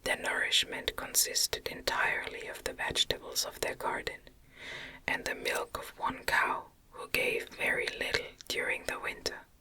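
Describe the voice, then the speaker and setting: A woman reading a gothic novel aloud as ASMR, in a gentle, breathy, unvoiced whisper with measured delivery, close to the microphone. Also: rough recording. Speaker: audiobook narrator.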